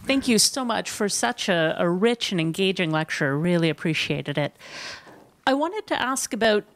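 A woman's voice: mid-range voice, big pitch swings, moderate pace, a little hiss or hum. A woman from Canada with a Canadian accent, an audience member asking a thoughtful question at a lecture.

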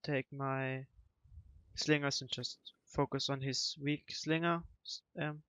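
A man's voice: mid-range voice, flat delivery, slow pace, pushed and bright, clear, resonant tone, no room sound. A young man chatting casually over strategy gameplay on a headset, often hesitating and correcting himself with mild grumbling. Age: young adult. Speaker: gamer commentating co-op strategy play. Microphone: headset microphone. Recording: slightly dull, dry headset audio.

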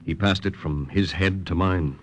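A man's voice: low-pitched, moderate pace. A man acting an earnest young American lieutenant working undercover in a wartime radio drama. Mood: earnest, guarded. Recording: noisy old-time radio recording.

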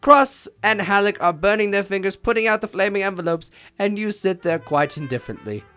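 A man acts out a large, imperious armchair detective for a radio play. His delivery is formal and pompous, pitched high with lively swings. Light music sits behind him. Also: fairly quick, clean tone. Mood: calm, taunting. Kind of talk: old-time radio drama acting.

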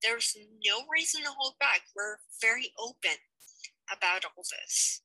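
Young woman host, very bright and sharp voice, earnest and rambling, dry headset sound in a small room. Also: fairly high pitch, expressive, a little slow, no echo, poor audio. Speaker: channel host. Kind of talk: casual update to viewers. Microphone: headset mic on webcam.

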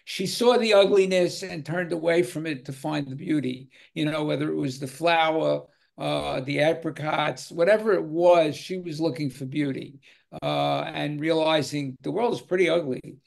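A man's voice: on the high side, moderate pace, gravelly. An older man moderating a film discussion on a video call, talking with many fillers. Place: home room with virtual cinema backdrop.

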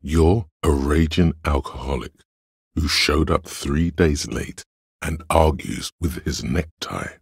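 A cold, reasoning inner voice from a detective video game. It is extremely deep and heavily husky, recorded close and dry. Each deduction comes out measured, cerebral and faintly condescending. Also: male voice, dry recording, full character voice. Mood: scornful. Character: cold analytical inner voice of logic in a detective's mind. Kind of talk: video game narration in second person.

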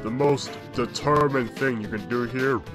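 A man's voice: medium pitch, a little slow, music in the background, natural voice. A man voicing a smug, unhinged glitchy skeleton destroyer in a comic dub, with a drawling, mocking, theatrical delivery. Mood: taunting, irritated.

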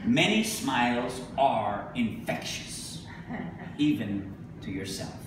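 A middle-aged man giving a live poetry reading, speaking deliberately with emphatic pauses. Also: medium pitch, animated. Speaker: poet. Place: indoor venue with a staircase, live reading. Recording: echoey room from a distance, noisy.

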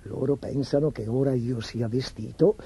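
A man's voice: medium pitch, normal speed, dark tone, breathy edge, voice pushed down. A man voicing an anxious, miserable emperor in a storybook, muttering hesitantly as he pretends to be pleased.

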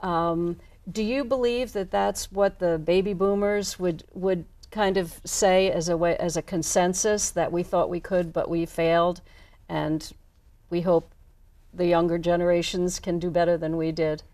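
A woman's voice: on the low side, steady tone, a little slow, a little mellow, no echo. A woman hosting a studio interview, asking long questions and agreeing often with short murmurs. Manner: frequent affirming murmurs. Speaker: host.

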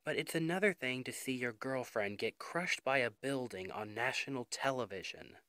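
A man playing a worried, devoted young boyfriend in an intimate ASMR roleplay, speaking in an earnest, emotional, halting way. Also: medium pitch, bright, forceful tone, dry recording, studio quality, heavily acted.

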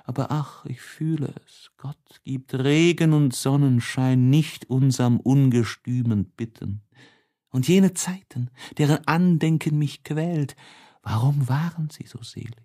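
A lovesick, despairing young man, acted by a male narrator, reading out his diary letters in an audiobook. He speaks slowly at a middling pitch, with heavy, emotive pauses. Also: mellow, natural voice. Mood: anguished, pleading. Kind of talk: dramatic audiobook reading of a classic novel.

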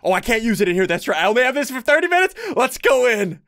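A young man giving excitable game commentary to his viewers, laughing often, on a headset mic at his desk at home. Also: extremely high pitch, quick pace. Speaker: gaming YouTuber.

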